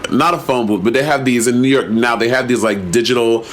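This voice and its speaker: A man's voice: mid-range voice, brisk. A man in his late twenties chatting casually as a podcast co-host, playful, slangy and exclamatory, sharing anecdotes. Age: late 20s. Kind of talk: casual chat, personal anecdotes. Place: at home in an apartment.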